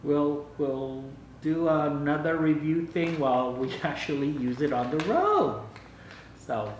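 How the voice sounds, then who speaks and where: A man's voice: on the high side, sing-song, slow pace. An older man chatting casually as he hosts a home vlog, testing out a gadget. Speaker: vlog host. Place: dining room at home. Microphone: camera microphone across the room. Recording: echoey room, distant mic, faint noise.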